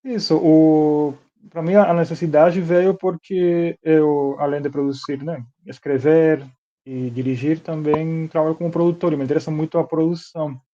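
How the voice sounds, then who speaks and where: A man's voice: fairly high pitch, steady tone, moderate pace, mellow, lo-fi sound. A man from Cuba with a Cuban accent and Spanish as his first language, answering informally as an interview guest. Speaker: interview guest, film producer and director.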